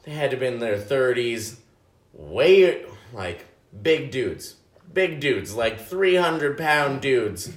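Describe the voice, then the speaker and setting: A man's voice: mid-range voice, big pitch swings, slow. Rambling man telling a story in an echoey room. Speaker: podcast guest. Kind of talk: casual drunken chat.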